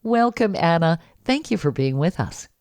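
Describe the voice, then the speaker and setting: A woman's voice: lowish voice, very animated, moderate pace, darkish tone, no echo, studio quality. A woman co-hosting a podcast, reading an upbeat intro and then chatting enthusiastically with her guest. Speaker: podcast co-host. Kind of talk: scripted intro then casual interview.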